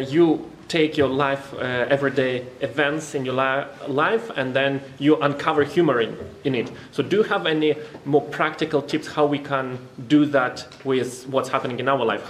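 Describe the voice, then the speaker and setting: A man in the audience asks a question, pausing often with fillers. His voice is of middle pitch. The hall around him is echoey. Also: steady tone, a little hiss or hum. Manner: hesitant, with fillers. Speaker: audience member. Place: large hall.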